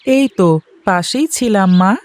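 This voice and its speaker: A woman's voice: mid-range voice, measured pace, a little mellow, natural voice. A woman voicing an innocent, chatty little village boy in a cartoon, childlike and earnest. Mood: dutiful. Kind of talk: cartoon dialogue acting.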